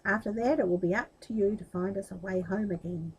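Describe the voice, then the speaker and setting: A woman's voice: medium pitch, a little fast, husky, rough recording. A woman voicing a young captive princess in a storybook, loving and resolute, confiding and urgent.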